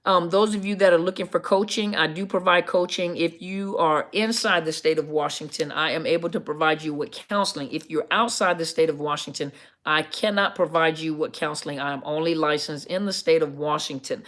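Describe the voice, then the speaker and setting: A middle-aged woman with a deep, scruffy, gravelly voice, a counselor chatting casually to viewers on a live stream and often correcting herself with ums. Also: fairly even intonation, fairly quick, a bit bright. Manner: rambling, self-correcting, many ums.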